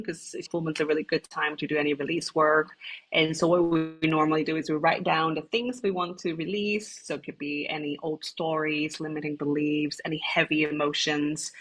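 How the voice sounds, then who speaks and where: A woman from Hong Kong, a retreat founder, calmly explaining her work as a podcast guest. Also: sits low, husky, poor audio. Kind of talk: podcast interview.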